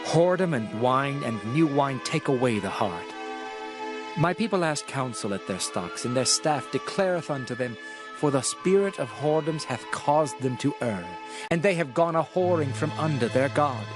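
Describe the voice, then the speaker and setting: A man's voice: mid-range voice, animated, moderate pace. A man narrating scripture in a dramatized style, with a solemn, declamatory delivery as a prophet. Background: music.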